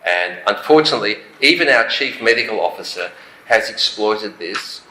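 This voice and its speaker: A man's voice: lowish voice, lively intonation, normal speed, bright, forceful tone. An Australian man on a conference panel, answering emphatically and dismissing critics. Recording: echoey hall, faint noise.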